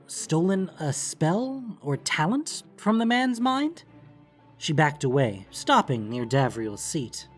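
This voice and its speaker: A man reading scripted, dramatic fantasy narration as an audiobook narrator. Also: on the high side.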